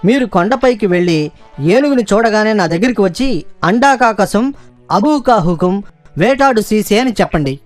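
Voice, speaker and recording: Fawning, scheming cartoon fox. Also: male voice, high-pitched, expressive, moderate pace, no echo, soft background music. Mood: eager, boastful. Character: servile, scheming hungry fox, overconfident. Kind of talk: children's cartoon voice acting.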